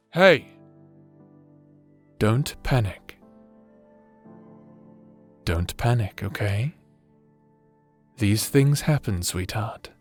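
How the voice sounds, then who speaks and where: Slow-talking, doting evil husband acted by a man. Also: medium pitch. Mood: concerned, soothing. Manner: soft, soothing, flirtatious, affectionate endearments. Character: doting, teasing, self-described evil necromancer husband. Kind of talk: intimate ASMR roleplay acting.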